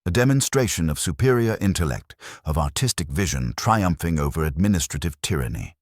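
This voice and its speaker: A man's voice: low voice, sing-song, a little fast, no echo, studio quality, exaggerated voice. A man voicing a vain theatrical director in a mystery drama, cornered and protesting in a self-justifying way. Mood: wounded pride.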